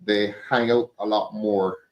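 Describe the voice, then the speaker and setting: A man's voice: fairly low pitch, fairly even intonation, measured pace, highs cut off. A man hosting a live talk show, asking questions in a curious, playful way. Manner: inquisitive, with playful asides. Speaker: host.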